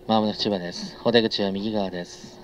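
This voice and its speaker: A man's voice: lowish voice, fairly even intonation, a little fast. A man, a train conductor, making a formal live announcement, listing connections in a routine delivery over the onboard PA. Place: inside a moving train. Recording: dull train PA, faint noise.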